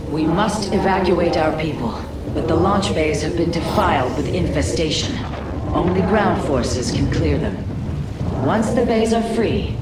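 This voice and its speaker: An actress playing a regal, resolute alien matriarch in a video game, speaking gravely and formally. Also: female voice, fairly low pitch, heavy background noise. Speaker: alien leader. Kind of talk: dramatic video game voice acting. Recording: very echoey, distant, loud music behind.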